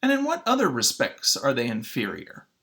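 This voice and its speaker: A man narrator plays a male courtroom lawyer in a children's storybook. He questions formally and pointedly, his voice bright with lively rises and falls. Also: mid-range voice, normal speed, gravelly, full character voice. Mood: probing.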